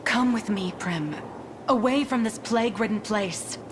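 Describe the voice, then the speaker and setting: A woman's voice: mid-range voice, a little slow, background noise, close to an everyday voice. An actress playing a stern, proud lady commander, with a formal, commanding, sardonic manner in a fantasy game. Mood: weary, solemn. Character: stern, proud lady commander keeping order amid plague. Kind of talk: theatrical fantasy game acting. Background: music.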